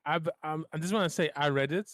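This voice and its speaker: Higher-voiced man, dry recording. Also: brisk, a bit bright, dry recording. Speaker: tabletop game player. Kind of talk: casual chat.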